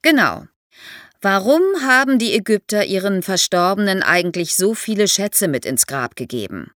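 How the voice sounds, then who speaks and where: Female expert guest for an explainer podcast, lively intonation, vivid storytelling manner, very dry studio sound. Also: mid-range voice, moderate pace, no room sound. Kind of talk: explanatory podcast interview for young listeners.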